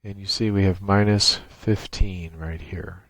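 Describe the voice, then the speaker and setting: A man giving an unscripted software tutorial, explaining each step in a matter-of-fact, technical way. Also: deep voice, fairly even intonation, measured pace, no room sound. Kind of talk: unscripted screen-share walkthrough. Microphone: USB microphone with gate and compressor.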